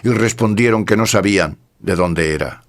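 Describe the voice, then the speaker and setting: A man giving a scripted narration of scripture in a solemn, measured way. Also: lowish voice.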